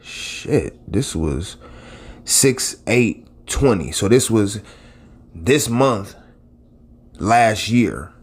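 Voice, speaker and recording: A man's voice: on the low side, lively intonation, drawn-out words, pauses often, slightly rough. A man in his late thirties, a channel host, talking casually and at length to his audience in a rambling, conversational way. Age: late 30s. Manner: rambling and conversational, with many restarts and asides. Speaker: YouTube blogger and channel host. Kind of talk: casual talk straight to camera.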